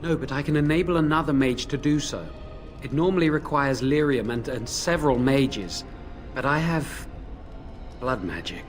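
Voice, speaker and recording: A man's voice: medium pitch, moderate pace, faint music behind, lightly acted. A man voicing a remorseful young mage in a video game, apologetic and earnest as he explains a risky ritual.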